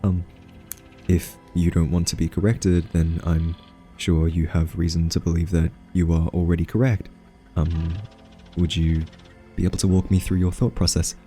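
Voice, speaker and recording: A man playing a quirky scientist in an ASMR roleplay, soft-spoken and hesitant, gently patronizing as he coaxes a captive AI. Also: low voice, flat delivery, faint music behind, close to an everyday voice. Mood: flustered, polite. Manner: hesitant, halting, politely condescending.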